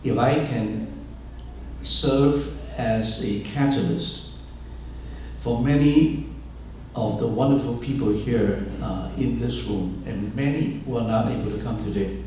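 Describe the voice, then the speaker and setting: Older male pastor, Chinese first language, unhurried and halting, many fillers; distant mic in a booming room. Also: mellow, very echoey, slight background noise. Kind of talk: speech to an audience. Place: large room with an audience.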